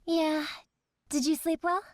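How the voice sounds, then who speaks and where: A woman voicing a sweet, gentle talking gun in a game trailer. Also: high voice, little pitch movement, a little slow, dry recording, studio quality. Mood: gentle, caring. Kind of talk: game character trailer acting.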